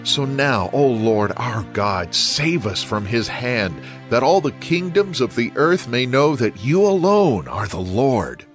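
A troubled, devout king in a scripture audio drama. His mid-pitched voice pleads reverently in prayer, with music underneath. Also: sing-song, takes it slowly, hardly any pauses. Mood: reverent pleading.

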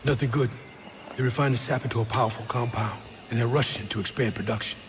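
An actor playing a middle-aged rebel leader in a video game, terse, fierce and authoritative. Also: male voice, mid-range voice, fast, noisy background, close to an everyday voice. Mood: businesslike, urgent. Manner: terse, authoritative, declamatory when rallying. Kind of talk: cinematic video game acting.

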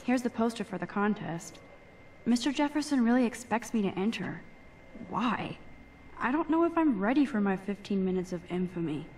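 A woman's voice: medium pitch, lively intonation, moderate pace, darkish tone, close to an everyday voice. An actress plays a teenage American girl in a narrative video game, a photography student thinking to herself. She is shy and self-doubting, with a wry, slangy, geeky streak and a mid-range voice. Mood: nervous, self-doubting. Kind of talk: introspective game voice acting.

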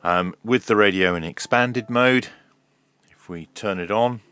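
A man giving a hands-on tech demonstration in a measured, hesitant way, as if thinking aloud. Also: lowish voice, a bit bright, smooth, no room sound. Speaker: host of a radio repair video.

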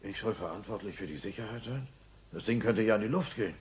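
An actor playing a bumbling, earnest, excitable middle-aged cartoon father in a German-dubbed audio play, speaking in a declamatory way. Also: fairly low pitch, quick pace, close to an everyday voice. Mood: hesitant, muttering. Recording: noisy old cassette audio play.

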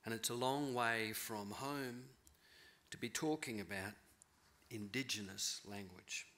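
A middle-aged man giving a keynote lecture from a podium in a large auditorium, thoughtful and measured, often rephrasing himself. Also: on the low side, pushed and bright. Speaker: keynote speaker, novelist. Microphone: podium mic.

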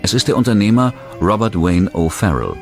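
A man narrating a true-crime documentary in a measured, scripted delivery. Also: on the low side, sing-song. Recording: narration over loud music.

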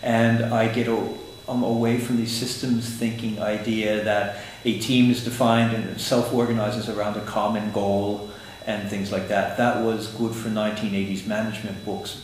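An older man, Swiss-born and raised in the United States, answering interview questions in a measured, explanatory way with some dry humor, in an office. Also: on the low side, flat delivery, slight background noise. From Switzerland, raised in the United States. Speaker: interview guest, agile consultant. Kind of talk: conference interview. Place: office room, indoors. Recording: echoey room with faint ambience.

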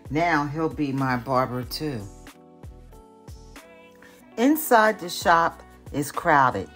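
An older woman, a retired teacher, reading a picture book aloud in careful, deliberate narration. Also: sits low.

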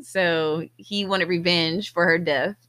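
A woman's voice: on the low side, fairly even intonation, takes it slowly, fairly bright tone, no room sound. A woman co-hosting a movie podcast, chatting casually and giving her opinions on a film. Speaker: podcast co-host.

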